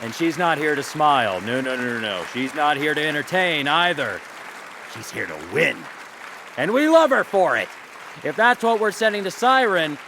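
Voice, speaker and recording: An actor playing a theatrical, teasing male talk show host in a sci-fi audio drama, with dramatic pauses. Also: a little high, background noise. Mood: rousing. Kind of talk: audio drama acting as broadcast interviewer.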